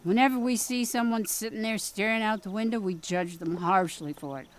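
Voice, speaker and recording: A woman's voice: medium pitch, brisk, close to an everyday voice. An actress with a Midwestern accent playing a pushy, folksy small-town mother in an audio drama. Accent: Midwestern American. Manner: folksy, scolding, plain-spoken. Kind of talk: period radio drama acting.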